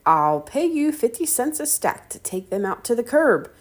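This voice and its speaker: A woman's voice: a little high, big pitch swings, moderate pace, pitched above the performer's own voice. A woman voicing a friendly older male neighbour with an emphatic manner in a children's storybook.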